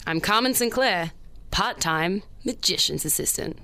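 A woman's voice: medium pitch, lively intonation, measured pace, bright, forceful tone. A woman playing a breezy, confident female friend in a scripted audio comedy sketch, dismissive and reassuring. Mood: playful.

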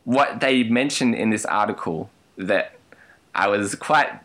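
A man's voice: lowish voice, measured pace, fairly bright tone. A man chatting on a podcast, wandering through his thoughts with plenty of hesitations. His humour is dry and understated, and the talk stays loose and casual throughout. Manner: rambling, hesitant, dry jokey. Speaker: podcast co-host. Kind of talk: casual chat.

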